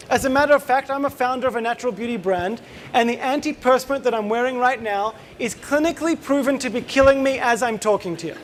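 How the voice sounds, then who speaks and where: An Australian man in his thirties speaks with a strikingly high voice, presenting to a live audience in a conference room. He is casual and chatty, tossing jokes and questions to the crowd. A faint background hiss sits under the recording. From Israel. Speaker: company co-founder giving a talk.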